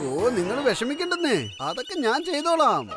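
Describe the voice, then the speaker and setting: A helpful cartoon sidekick , acted by a woman with a high voice. The delivery is exaggerated for children, over loud music. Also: big pitch swings, brisk. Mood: cheerful.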